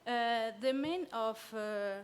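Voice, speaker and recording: A woman's voice: fairly high pitch, takes it slowly, clean tone. Nervous woman presenting in a reverberant hall. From São Tomé and Príncipe. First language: Portuguese. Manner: hesitant, many fillers, nervous. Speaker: conference presenter. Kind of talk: academic talk. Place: conference hall.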